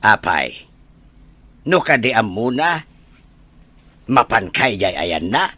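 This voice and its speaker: A man playing a gruff, scolding old husband and father in an audio drama, with an Ilocano Filipino accent. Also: lowish voice, very animated, slow, pushed and bright, no room sound, exaggerated voice. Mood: annoyed.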